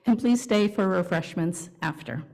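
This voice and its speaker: A woman leading a church service, reading a welcome and announcements to the congregation in measured, deliberate phrases with pauses. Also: lowish voice, vocal fry, mellow. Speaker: worship leader at a church service.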